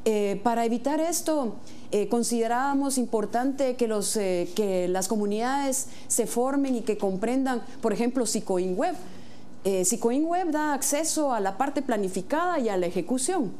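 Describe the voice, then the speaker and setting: A woman from Guatemala, a mayor-elect, giving earnest explanatory answers in a studio interview, often pausing on "eh". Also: fairly high pitch, expressive, mellow, slight background noise. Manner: earnest, explanatory, frequent "eh" hesitations.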